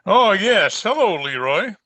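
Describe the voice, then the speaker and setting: A man's voice: sits high, big pitch swings, a little slow, bright, forceful tone, exaggerated voice. A man playing a genial but wry small-town mayor in an old-time radio comedy. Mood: curious, wry.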